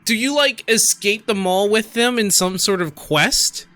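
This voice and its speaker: A man's voice: sits high, a little slow, sharp tone, no room sound. A man giving casual, exasperated gaming commentary while reading posts aloud, repeating himself for emphasis. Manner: exasperated, incredulous, emphatic with repetition. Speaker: gaming streamer and host.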